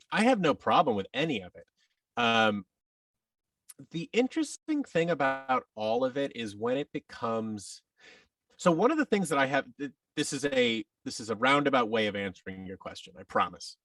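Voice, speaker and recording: A man's voice: mid-range voice, sing-song, moderate pace, a bit bright, dry recording, distant mic. A man giving a rambling, enthusiastic explanation as a guest in a conversational interview. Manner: rambling, enthusiastic explaining, acts out imagined quotes. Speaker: podcast guest, audio drama producer.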